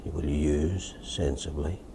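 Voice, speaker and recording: A softly spoken Australian man with a deep, gravelly voice, speaking as a hypnotist in a measured, soothing, suggestive manner. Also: steady tone, noisy background.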